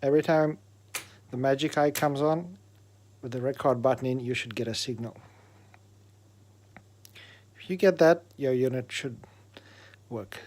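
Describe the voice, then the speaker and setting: Male presenter, informal hands-on tech demo, explains methodically in a mid-pitched voice. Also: a little slow, long pauses, darkish tone. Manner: explanatory, step by step. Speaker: presenter of an electronics repair demo. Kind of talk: informal technical demonstration.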